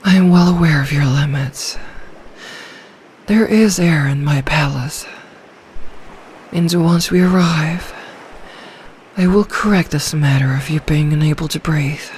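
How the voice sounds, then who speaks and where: A woman voicing a lonely, ancient female sea dragon in an intimate ASMR roleplay, soft, regal and possessive, doting with quiet menace. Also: low voice, unhurried, pauses often, a little hiss or hum.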